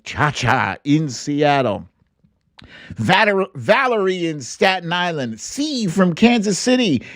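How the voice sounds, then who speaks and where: A man's voice: on the high side, very animated, takes it slowly, a bit bright, dry recording, studio quality. A man hosting a livestream in a casual, chatty style, with a playful sing-song way of talking. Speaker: livestream host. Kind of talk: casual livestream chat, reading out viewer greetings.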